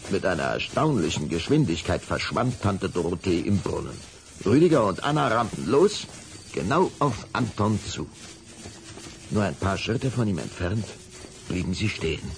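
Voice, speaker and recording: A man's voice: deep voice, very animated, moderate pace, vocal fry, noisy background. A man narrating a children's radio play as a scripted storyteller.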